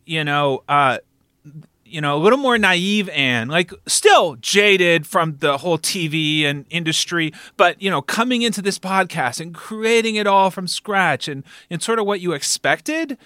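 Animated, bright-voiced man interviewing casually. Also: high-pitched, measured pace, smooth, no echo, studio quality. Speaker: podcast interviewer and network founder.

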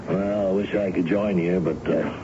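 A man's voice: deep voice, steady tone, moderate pace, lightly acted. A man playing a wry, drawling father in a radio drama. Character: wry father, middle-aged or older. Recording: muffled, noisy old radio broadcast.

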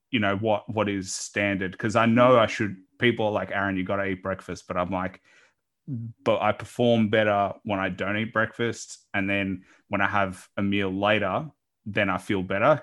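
A man in his late twenties hosts a casual podcast interview. His voice is deep and a little low, and he talks with upbeat energy and some self-deprecating humor. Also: a bit bright. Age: late 20s. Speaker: podcast host.

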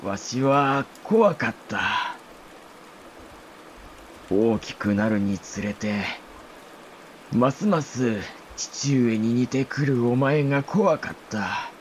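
A man's voice: medium pitch, a little hiss or hum, close to an everyday voice. Man acting an anguished, dying samurai lord. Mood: grave confession. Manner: halting, emotional, archaic lordly speech. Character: dying older samurai lord, anguished father confessing fear. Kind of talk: Japanese historical audio drama acting.

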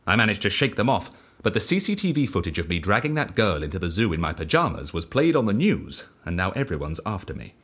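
Reckless dice-obsessed fugitive, audio drama. Low, very animated, evangelical, frank about the outrageous. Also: male voice, fast, slightly rough, exaggerated voice. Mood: earnest confession. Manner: excitable, evangelical, matter-of-fact about outrageous deeds. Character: reckless, exhilarated male dice devotee on the run.

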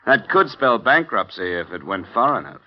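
A man's voice: fairly low pitch, very animated, normal speed. A sardonic tough-guy investigator. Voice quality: dry, sardonic. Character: tough wisecracking male insurance investigator. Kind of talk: 1950 radio drama acting. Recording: dull 1950 radio transcription with music.

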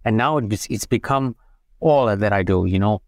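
A man's voice: on the low side, moderate pace, a little mellow, no echo, clean studio sound. A man from South Africa in his forties, a photographer and business owner, talking casually in an interview with plenty of fillers and repeated words.

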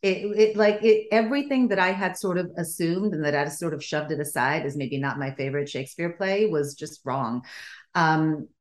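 A woman with a low voice talks about her writing in an unhurried interview. She grew up in Sweden and Bulgarian was her first language. She is thoughtful and circles back with fillers and restarts. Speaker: playwright and adapter. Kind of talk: casual interview conversation.

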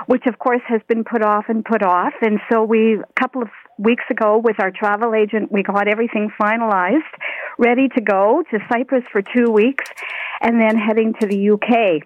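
Lady caller on a tinny phone line, a bit high, chatty. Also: dry recording. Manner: chatty, steady telling. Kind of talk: radio phone-in call.

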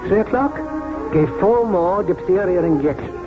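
A kindly old British country doctor in a 1940s radio drama, weary and reflective. His voice is fairly high for a man and gentle, heard through a muffled old broadcast with loud music. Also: expressive, moderate pace. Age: older. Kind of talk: 1940s radio drama acting, first-person narration.

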